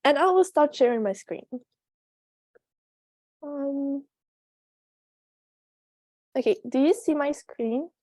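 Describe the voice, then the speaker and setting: A teenage girl from Belgium whose first language is French presents over a video call. Her voice is high and mellow, and the sound is dry and close. She hesitates often, filling pauses with ums and uhs. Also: expressive, dry recording. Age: teens. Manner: hesitant, many ums and uhs, laughs easily. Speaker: presenter on a virtual tour. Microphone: computer mic on a video call.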